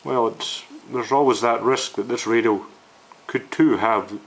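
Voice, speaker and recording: A man's voice: medium pitch, a little slow, rough recording. A man narrating an informal, unscripted hobby video as its host, in a downbeat, hesitant, explanatory way.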